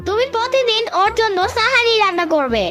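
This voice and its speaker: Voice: extremely high pitch, sing-song, fairly quick. A performer voicing a bossy, cheeky little boy in a comedy cartoon, demanding and indignant, with a Bangladeshi accent. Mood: insistent. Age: child figure. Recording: loud music behind the voice. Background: loud music.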